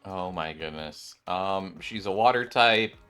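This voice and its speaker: Man, lowish voice, gaming host, casual commentary, excitable, exclamatory, repeated phrases, background music. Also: a little slow, clear, resonant tone. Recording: music behind the voice.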